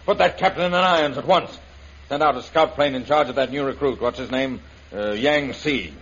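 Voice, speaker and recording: A man's voice: medium pitch, a little fast, fairly bright tone, a little hiss or hum, close to an everyday voice. A man playing an arrogant, cruel, domineering villain in a 1930s radio serial, with a sneering theatrical delivery. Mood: barking orders. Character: arrogant, menacing male revolutionist villain, cruel and gloating. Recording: muffled, lo-fi old radio recording.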